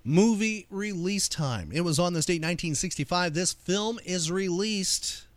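A man's voice: high-pitched, animated, measured pace, no echo. A man co-hosting a podcast, posing trivia questions in an upbeat quizmaster style. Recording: music behind the voice. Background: music.

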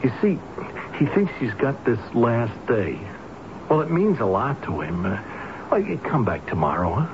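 A man playing a soft-hearted, flustered building worker in a radio drama, hesitant and stammering in colloquial speech. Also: medium pitch, big pitch swings, moderate pace. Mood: pleading. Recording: noisy, muffled old radio broadcast recording.

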